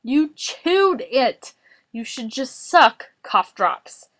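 A woman voicing an exasperated, bossy male storybook caretaker who fusses, scolds and often shouts. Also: fairly high pitch, lively intonation, moderate pace. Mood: scolding.

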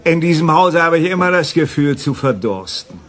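A man playing a melancholy, world-weary poet in a radio play, speaking in a theatrical, drawn-out way. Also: fairly high pitch, sing-song. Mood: weary, dramatic. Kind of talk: German radio play acting.

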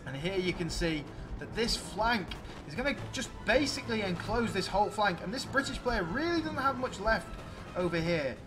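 A man giving excited, exclamatory play-by-play commentary on a video game battle, addressing his viewers. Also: high-pitched, normal speed, over music.

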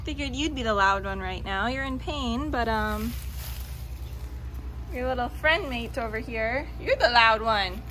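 A woman farmer in a barn talking to her goats in soft, cooing baby talk, filmed on a handheld phone. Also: fairly high pitch, a little slow. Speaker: farmer vlogger. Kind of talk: casual talk to animals. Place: indoor barn goat pen.

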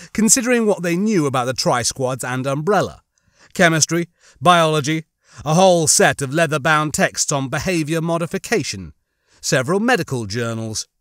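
Lively, higher-pitched male audiobook narrator. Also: dry recording, clean studio sound. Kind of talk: scripted dramatic fiction narration.

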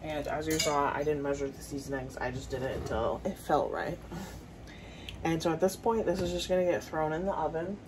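A woman's voice: sits low, fairly even intonation, a little fast, background noise. A woman chatting casually to viewers as she cooks in her home kitchen, talking through each step as she works. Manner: chatty, talks while cooking, addresses viewers. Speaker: home cooking vlogger. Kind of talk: casual cooking vlog chat.

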